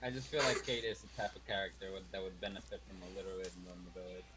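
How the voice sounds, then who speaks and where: A man's voice: deep voice, racing. A man talking casually as a player in a tabletop game, on a noisy recording. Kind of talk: casual chat. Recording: clearly noisy, ambient background noise.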